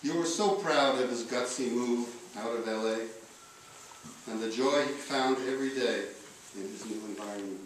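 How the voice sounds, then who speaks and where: A man reading a eulogy aloud in a solemn style, with measured, deliberate phrasing and pauses. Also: mid-range voice. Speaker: eulogist reading letters at a memorial. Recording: echoey room, distant mic, faint noise.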